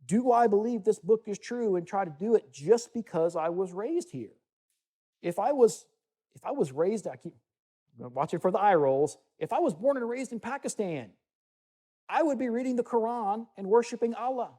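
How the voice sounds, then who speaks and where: Man, Bible teacher, conversational sermon with asides, dark mellow timbre. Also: sits high, expressive, normal speed. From United States. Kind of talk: teaching sermon with scripture reading. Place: meeting room with listeners.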